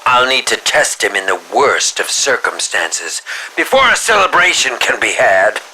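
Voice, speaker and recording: Sinister pompous filmmaker, sharp bright voice, slow ornate monologue, calculating, theatrical, video game villain, faint noise. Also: male voice, medium pitch, sing-song, poor audio. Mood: scheming. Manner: ornate, deliberate, theatrical, breathy dips into near-whisper.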